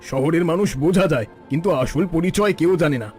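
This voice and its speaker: Guy doing a bossy suspicious villager in a cartoon, pushy and accusing, kinda high voice. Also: lively intonation, a little fast, a little mellow, soft background music, natural voice. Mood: wary, gossiping. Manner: insistent, accusing. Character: suspicious, bossy village man stirring up distrust. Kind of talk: cartoon story dialogue.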